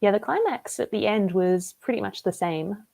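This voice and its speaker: An Australian woman talks over a video call in an easy, conversational way. Her voice sits at a middle pitch, and she fills her speech with casual asides as she explains her writing. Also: normal speed, creaky. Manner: chatty and relaxed, with frequent "like" and "um" fillers. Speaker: debut novelist interviewed about her book.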